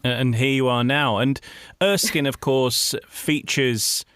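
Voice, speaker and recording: A man hosting a radio interview, speaking in a halting way and repeating words as he forms his questions. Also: mid-range voice, fairly bright tone, clear, resonant tone, no echo, studio quality.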